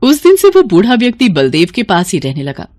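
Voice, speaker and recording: A woman's voice: medium pitch, huge pitch swings, a little fast, darkish tone, no echo, studio quality. A woman reading scripted moral-story narration as the storyteller.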